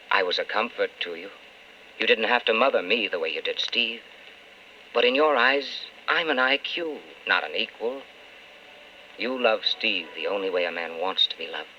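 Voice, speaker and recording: A man's voice: lowish voice, lively intonation, moderate pace, sharp tone. An actor playing a principled, wistful man in love, speaking earnestly in an old-time radio drama. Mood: gently firm. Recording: muffled old radio broadcast with hiss.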